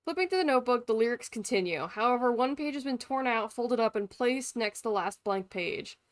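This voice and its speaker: A woman live-streaming a game with casual, expressive and sweary commentary, sometimes reading text aloud. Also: on the high side, brisk. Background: faint game music.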